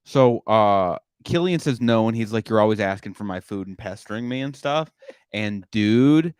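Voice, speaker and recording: A man's voice: fairly low pitch, expressive, normal speed, a little mellow, smooth, no room sound, clean studio sound. A young man hosting a comedy podcast, rambling casually with lots of filler words and self-deprecating asides. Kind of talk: casual chat.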